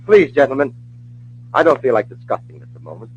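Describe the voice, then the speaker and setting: A man's voice: mid-range voice, sing-song, fast talker, highs cut off, loud music behind the voice, natural voice. An actor playing an anxious, weak-willed businessman who hesitates and pleads, in an old radio drama. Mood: evasive, subdued. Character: nervous, weak-willed businessman under a gangster's thumb. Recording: old radio broadcast recording.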